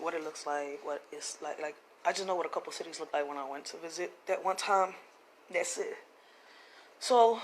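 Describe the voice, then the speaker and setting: A woman's voice: lowish voice, slight background noise. A woman telling her own hard life story to her viewers in a plainspoken, confessional way, using casual slang. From Puerto Rico, raised in Philadelphia. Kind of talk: personal testimony to camera.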